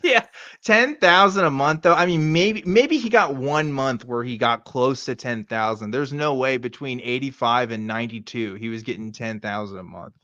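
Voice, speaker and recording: A man's voice: medium pitch, normal speed, sharp tone, dry recording, muffled recording. A middle-aged American man hosting a casual show, reading aloud and riffing with sardonic, profane asides. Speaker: podcast host.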